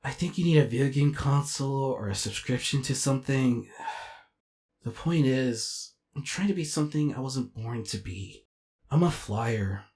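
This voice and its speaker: A man voicing an excitable, insecure robot jet in an animated comic dub, dramatic and hesitant when unsure. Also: mid-range voice, normal speed, close to an everyday voice. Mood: dejected.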